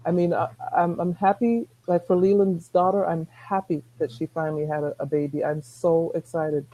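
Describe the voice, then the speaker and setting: A middle-aged woman with a soft-spoken voice, talking earnestly as a guest on a video call, rambling with restarts. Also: lowish voice, little pitch movement, moderate pace, lo-fi sound, a little hiss or hum. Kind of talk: casual chat.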